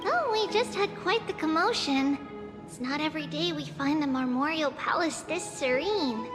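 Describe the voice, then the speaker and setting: A woman voicing a small, cheerful demigod girl in a video game, with a light childlike voice and an unhurried manner. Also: sits high, expressive, music in the background. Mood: bright, gentle. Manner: unhurried, speaks of herself as "we".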